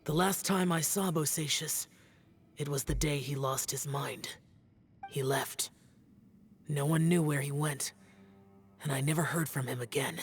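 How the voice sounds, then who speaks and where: A man's voice: on the high side, a little slow, pauses often, faint music behind. A man voicing a solemn, aloof, youthful immortal warrior in an anime video game, speaking in a grave, terse, restrained way. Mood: somber, resolute.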